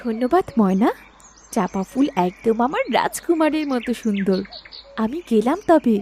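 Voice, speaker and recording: A woman voicing a gentle, loving palace lady in a fairy-tale cartoon, with tender, emotive delivery. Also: fairly high pitch, expressive, normal speed, a little hiss or hum. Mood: affectionate. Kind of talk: dramatic cartoon dubbing.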